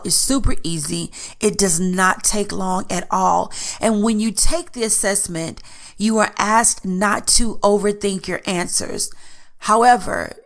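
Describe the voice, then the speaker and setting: A woman's voice: mid-range voice, fairly bright tone. A woman hosting a solo podcast in a chatty, earnest way, her voice stuffed up from allergies. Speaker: podcast host. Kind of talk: casual solo podcast talk.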